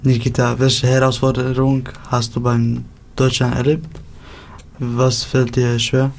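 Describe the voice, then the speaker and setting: A man acts as interviewer and reads his questions plainly and evenly. His voice sits mid-range, and its pitch barely moves. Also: monotone, unhurried, creaky, slight background noise.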